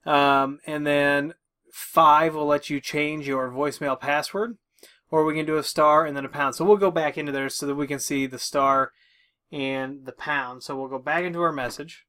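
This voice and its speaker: A man's voice: a little high, steady tone, normal speed, bright, forceful tone. A middle-aged man giving an informal tech walkthrough, talking through each step as he goes. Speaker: tech tutorial host. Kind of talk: casual instructional walkthrough. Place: small room with tech equipment, indoors.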